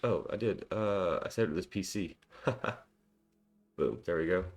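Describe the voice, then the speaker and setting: Low-voiced man thinking aloud. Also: measured pace. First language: English. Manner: chatty and self-deprecating, lots of ums and small laughs. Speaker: cybersecurity tutorial host. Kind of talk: casual screen-recorded walkthrough, thinking aloud.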